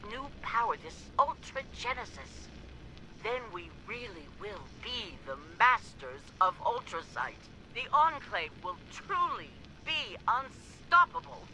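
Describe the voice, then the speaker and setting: An actress playing an arrogant, ruthless, zealous scientist in a video game audio log, with theatrical, deliberate enunciation. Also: female voice, fairly high pitch, pushed and bright, heavily acted, rough recording.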